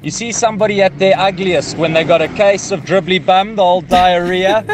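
A man's voice: high voice, fairly even intonation, normal speed. A man leaving a blunt, jokey opinion as a radio listener. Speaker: radio listener sending a message. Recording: noisy voice message with traffic ambience.

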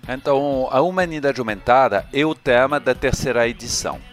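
A man from France with a French accent, a guest explaining his views in an interview in an unhurried way. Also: mid-range voice, soft background music. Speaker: guest, event curator. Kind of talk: podcast interview.

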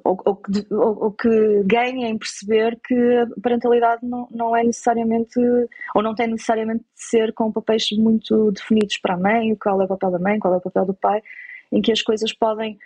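A woman's voice: a little high, flat delivery, a little fast, darkish tone, husky, low-quality recording. A woman with a European Portuguese accent giving conversational interview answers, explaining and rephrasing as she goes. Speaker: interviewed parent.

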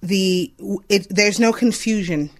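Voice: medium pitch, slow pace. Earnest, thoughtful interview guest in late thirties. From Zambia. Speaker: interview guest, foundation representative. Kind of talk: reflective conversational interview.

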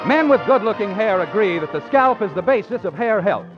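Dull vintage radio sound, American male announcer, high pitch, very animated delivery, 1940s sponsor-read style. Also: a little fast. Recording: muffled 1940s radio recording with music.

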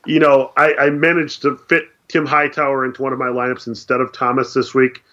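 A man's voice: mid-range voice, flat delivery, fairly quick, sharp tone, highs cut off. A man co-hosting a sports podcast, reading a news segment with dry sarcastic asides while fighting a head cold. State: head cold, losing his voice. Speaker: podcast co-host.

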